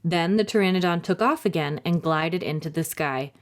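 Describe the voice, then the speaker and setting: A woman narrating a children's story in a dramatic, clearly phrased, expressive reading style. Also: lowish voice, moderate pace.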